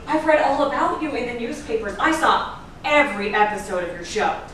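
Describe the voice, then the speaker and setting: A woman's voice: on the high side, lively intonation, fairly quick, a bit bright. A woman playing a detective's meddling wife in a staged drama reading, earnest and insistent, often hesitant. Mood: prying. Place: echoey room at a staged reading. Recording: echoey room, distant mic, noisy background.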